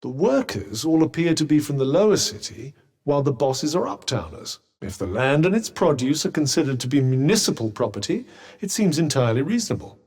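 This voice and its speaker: A man's voice: a little high, big pitch swings, a little fast, darkish tone, slightly rough, full character voice. An actor playing a sarcastic, condescending male talking bracelet in a game, speaking in dry, drawling asides. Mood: sardonic. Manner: drawling, faintly mocking asides. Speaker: game character. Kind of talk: game voice lines.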